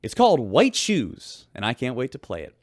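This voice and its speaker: A man's voice: medium pitch, wildly swooping pitch, moderate pace, no room sound, clean studio sound. A man playing a wry, chatty radio host aboard a spaceship, reading news in a scripted sci-fi audio drama. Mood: wry, upbeat.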